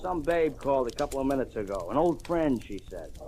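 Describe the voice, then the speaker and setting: A man's voice: medium pitch, animated, a little fast, natural voice. A man acting a surly young American bowling alley lackey in a 1940s radio drama, slangy and easily cowed. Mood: nervous. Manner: slangy, insolent, whiny when pressed. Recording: old radio recording with background noise.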